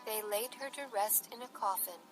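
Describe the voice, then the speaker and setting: A woman's voice: medium pitch, moderate pace. A woman storyteller reading a scripted bedtime story for children. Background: music bed.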